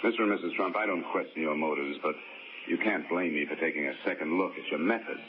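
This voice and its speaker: An actor playing a wry, hard-boiled insurance investigator who narrates and wisecracks dryly, in 1940s radio acting style. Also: male voice, a bass voice, quick pace, background noise. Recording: dull old radio broadcast with music.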